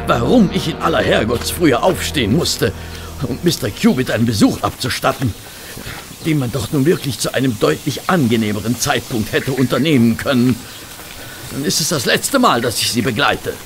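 A fussy gentleman companion and storyteller played by a man in a detective radio play. His mid-range voice is creaky and very animated in melody, over faint music. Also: mid-range voice, natural voice. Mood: indignant. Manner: flustered in dialogue, measured and literary when narrating. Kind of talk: German audio drama acting and narration.

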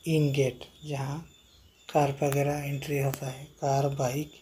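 A man's voice: mid-range voice, monotone, unhurried, dark tone, slight background noise. A man giving a casual walking tour of a shopping mall, filming on his phone and repeatedly calling the viewers friends. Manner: repetitive, addresses viewers as friend. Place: outdoors and inside a large shopping mall.

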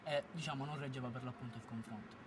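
A man's voice: mid-range voice, fairly even intonation, quick pace, very noisy. A young man from Palermo, giving a blunt, opinionated street interview answer into a handheld microphone outdoors. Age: young adult. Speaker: passer-by. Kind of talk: street vox pop. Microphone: handheld reporter mic.

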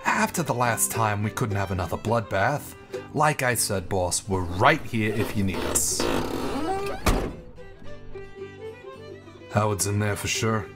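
A man's voice: fairly low pitch, normal speed, over loud music, lightly acted. An actor playing a cautious, scheming right-hand man in a 1920s gangster audio drama, deferential and hedging. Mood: guarded.

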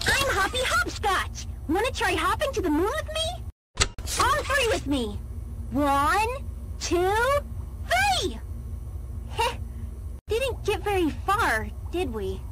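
A woman's voice: very high-pitched, big pitch swings, takes it slowly, pauses often, heavy background noise, heavily acted. A woman voicing a cute, childlike cartoon toy critter, sing-song and demanding with a menacing edge. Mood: playful. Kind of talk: animated toy voicelines.

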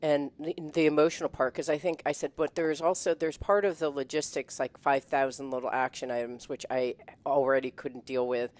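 A woman's voice: rumbling low, steady tone, brisk, few pauses, darkish tone. A middle-aged woman chatting as a guest on a video call. She talks with emotion, laughs often and restarts her sentences. She speaks into a headset mic from a room at home. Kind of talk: casual chat.

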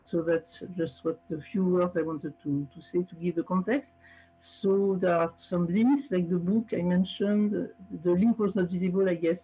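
A woman giving a remote conference presentation through a headset mic, speaking hesitantly with many fillers and restarts. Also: on the low side. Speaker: panel speaker presenting a project. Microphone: headset microphone. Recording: distorted, muffled video call audio.

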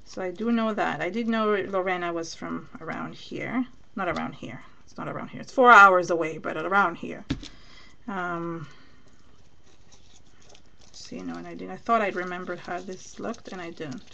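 Gravelly-voiced woman with a Venezuelan accent. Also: lowish voice, normal speed, bright, forceful tone. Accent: Venezuelan Spanish. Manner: chatty, thinks aloud while working, answers viewer comments. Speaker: craft demonstrator on a live stream. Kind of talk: live crafting demonstration, chatting with viewers.

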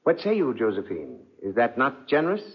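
An actor playing an imperious, suspicious husband with pompous mock courtesy, in 1940s radio style. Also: male voice, medium pitch, huge pitch swings, a little fast, husky. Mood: smug, commanding. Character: imperious, suspicious husband, self-important lord of the house. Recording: dull, muffled 1940s radio recording.

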